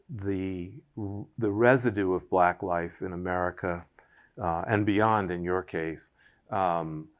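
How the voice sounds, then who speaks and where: A man speaking in a public conversation at a museum, thinking aloud in long winding sentences with many fillers and restarts. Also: low voice.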